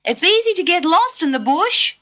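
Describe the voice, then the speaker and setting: A woman's voice: sing-song, normal speed, sharp tone, heavily acted. A woman voicing a worried mother in a children's storybook, calling out a warning. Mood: anxious warning. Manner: calling out, high-pitched.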